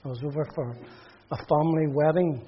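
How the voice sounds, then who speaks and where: Husky man in his sixties from Northern Ireland. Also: on the high side, fairly quick, lo-fi sound. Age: 60s. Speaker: interview guest. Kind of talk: interview.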